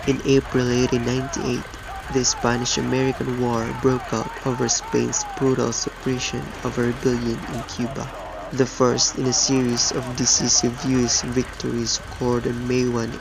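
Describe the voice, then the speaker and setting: Male history narrator, flat delivery, measured and formal, clear background noise. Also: mid-range voice. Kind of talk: scripted documentary narration.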